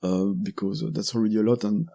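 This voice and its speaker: Gravelly Belgian French man, halting. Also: on the low side, steady tone, dark tone. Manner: halting and searching for words. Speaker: guest podcaster. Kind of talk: casual conversational interview.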